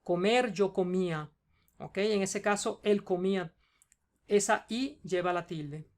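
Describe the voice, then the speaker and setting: A Spanish teacher with a Cuban accent, patiently going through a student's homework and checking in often. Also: female voice, mid-range voice, measured pace, darkish tone. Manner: patient, explains step by step, checks in with "ok?".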